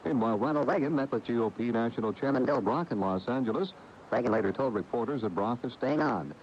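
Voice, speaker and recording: A man's voice: mid-range voice, fast, a little mellow, slight background noise. An American man reading scripted news as a radio reporter. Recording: dull 1980 AM radio tape.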